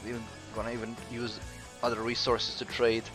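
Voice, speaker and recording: A man from Croatia with a mixed Croatian accent, chatting casually while streaming a game and answering viewers, with frequent pauses. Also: medium pitch, monotone, music in the background. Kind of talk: casual gameplay commentary.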